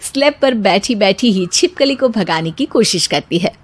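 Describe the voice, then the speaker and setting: Lively female cartoon story narrator. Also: medium pitch, normal speed, slightly rough.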